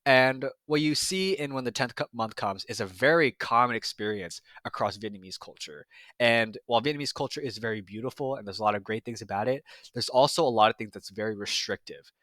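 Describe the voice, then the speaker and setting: Young man, film reviewer, casual and reflective, bright low-ish voice, very dry sound. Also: a little fast, no echo. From United States. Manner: reflective, frequent fillers and restarts.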